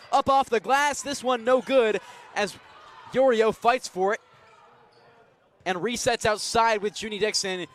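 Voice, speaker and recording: A young man with a high voice works as a radio play-by-play announcer at a live basketball game. He follows the action in an energetic, descriptive style from inside a college arena. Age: young adult.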